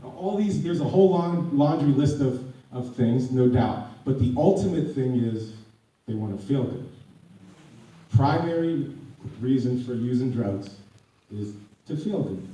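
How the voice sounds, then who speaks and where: A hoarse man gives a talk as a counselor, his voice very dark and mellow. He often stumbles and repeats his words, and pushes his points harder as he gets going. He is picked up from across a big echoey room. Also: mid-range voice, expressive, unhurried. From United States. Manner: repeats and restarts words, grows emphatic as he goes. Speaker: addiction counselor speaking at a community panel. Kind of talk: public talk to an audience. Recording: echoey room audio from far off.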